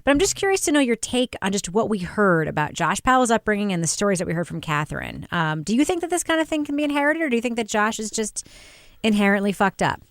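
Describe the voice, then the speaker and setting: A woman's voice: medium pitch, very animated, fast talker, dry recording. Frank woman hosting, very dry sound. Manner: frank, conversational. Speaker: podcast host leading the panel. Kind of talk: casual discussion, putting questions to co-hosts.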